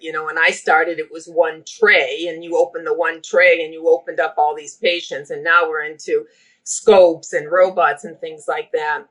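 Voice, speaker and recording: A middle-aged woman with a Boston accent speaks as an interview guest, talkative and enthusiastic. Her voice has a bright, forceful edge. She talks in a lively, unrehearsed way. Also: deep voice, low-quality recording. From Boston area. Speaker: healthcare operations guest. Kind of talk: podcast interview.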